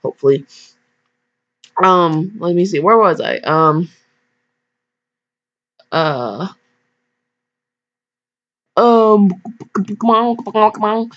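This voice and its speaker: A woman's voice: medium pitch, animated, extremely slow, long pauses, a bit bright. A woman casually reading a story aloud, stumbling and breaking off for chatty, jokey asides. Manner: self-interrupting, stumbling, jokey. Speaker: fan fiction reader. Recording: dull lo-fi microphone.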